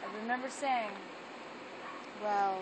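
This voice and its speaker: A woman's voice: mid-range voice, steady tone, takes it slowly, background noise. A drunk woman telling a rambling story to friends outdoors, her words loose and trailing off into half-sung lines. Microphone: phone camera held by a companion.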